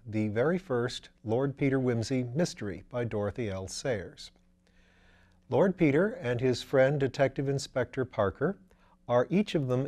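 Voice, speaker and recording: A man's voice: medium pitch, dark tone. A man in his sixties reading a mystery novel aloud as a storyteller. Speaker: audiobook reader. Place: attic library room, seated in armchair.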